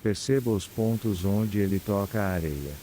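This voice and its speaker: Deep, mellow Brazilian man guiding meditation. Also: slight background noise. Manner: calm, soothing, unhurried with long pauses. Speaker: meditation guide. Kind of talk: scripted guided meditation. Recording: clear background ambience of ocean waves. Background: sea waves ambience.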